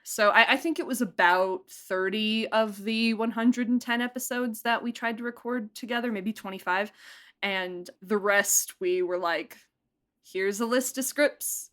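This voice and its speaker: A woman's voice: medium pitch, unhurried, fairly bright tone. A woman chatting casually and effusively as a podcast guest, talking as a producer and director and often breaking into laughter. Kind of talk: casual behind-the-scenes interview chat.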